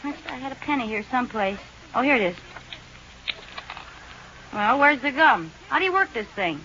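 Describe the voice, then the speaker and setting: An actress playing a feisty, stubborn housewife in 1940s radio comedy. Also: female voice, high-pitched, lively intonation, normal speed. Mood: puzzled, irritated. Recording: muffled old radio recording, loud noise.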